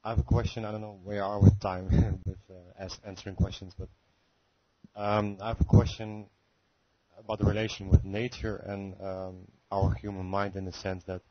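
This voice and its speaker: A male caller on a conference call, hesitantly working out a question with many pauses and rephrasings. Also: lowish voice, flat delivery, a little hiss or hum. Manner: hesitant, searching for words, many fillers. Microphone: phone line. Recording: muffled phone-call audio.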